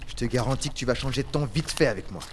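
A man playing a gruff, impatient resistance fighter in an audio drama, speaking curtly. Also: medium pitch, very fast, background noise, lightly acted. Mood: exasperated, angry.

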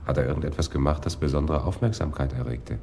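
Police investigator, very deep voice, businesslike, formal, terse, radio crime drama. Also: male voice, quick pace, mellow, slight background noise. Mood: formal, firm. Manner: formal, terse, matter-of-fact.

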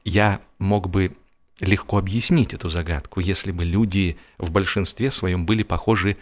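A man's voice: low-pitched, steady tone, normal speed. A man narrating a humorous story expressively, in an ironic, mock-serious manner.

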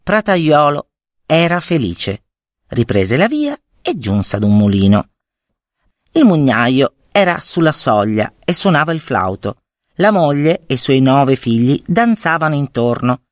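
A woman reading a fairy tale aloud as storyteller, with expressive storybook delivery. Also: sits low, unhurried, no room sound, studio quality.

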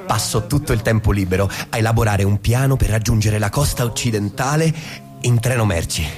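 A man's voice: fairly low pitch, brisk, darkish tone, lightly acted. An actor playing a dreamy, restless young man who delivers a dramatic monologue about his schoolboy escape fantasies in a radio play. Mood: dreamy, earnest. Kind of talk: dramatic first-person stage monologue for radio. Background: music.

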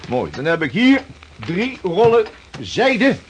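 An actor playing a brisk, bossy and hearty ship's officer in a period radio play. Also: male voice, high-pitched, big pitch swings, heavily acted. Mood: businesslike. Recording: hissy old radio drama recording.

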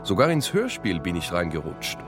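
A man's voice: low voice, wildly swooping pitch, moderate pace, music in the background. A man from Israel narrating a scripted first-person radio piece. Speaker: narrator, theatre and radio play director.

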